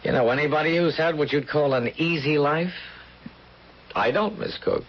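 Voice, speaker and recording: An actor playing a streetwise, guarded convict in a 1970s radio drama, hesitant and stammering in plain slangy speech. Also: male voice, fairly high pitch, moderate pace, close to an everyday voice. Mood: guarded, wry. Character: streetwise male convict, guarded, bitter, pleading for a chance. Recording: muffled old radio broadcast with hiss.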